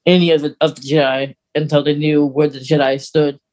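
A woman's voice: deep voice, flat delivery, brisk, a little mellow. A woman speaks as a podcast co-host in an informal fan discussion. She explains things conversationally, qualifying herself and tucking in extra details as she goes. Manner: conversational, hedging, adds asides and details.

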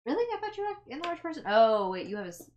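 Girl playing a tabletop rpg, kinda high voice, rambly n playful, casual. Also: big pitch swings, quick pace, darkish tone, dull audio. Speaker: tabletop game player. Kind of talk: casual in-game chat.

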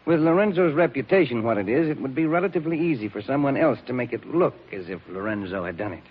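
Husky, fast-talking guarded official. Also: male voice, medium pitch, lively intonation. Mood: assured. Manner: formal, guarded. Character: evasive, proper relief-foundation official, smooth and guarded. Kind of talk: 1940s radio acting. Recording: thin, phone-like old radio recording.

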